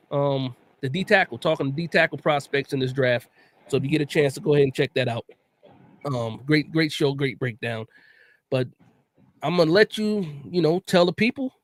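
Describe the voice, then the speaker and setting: A man hosts a casual sports talk livestream in a relaxed, chatty way, often repeating himself and dropping "man" into his sentences. His voice sits a bit high. The sound is dry and close, with little room around it. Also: no room sound. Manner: laid-back, repeats words, says "man" often. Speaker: podcast host.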